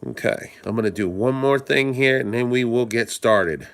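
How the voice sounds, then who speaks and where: A man hosting a live stream, hoarse and stuffed up from a cold, talking casually in a rambling way and greeting viewers by name. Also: medium pitch, normal speed. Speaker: livestream host.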